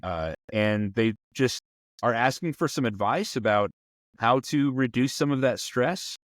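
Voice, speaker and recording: A man's voice: medium pitch, lively intonation, unhurried, dry recording, studio quality. A man hosting a tabletop role-playing show, talking through advice in a conversational style.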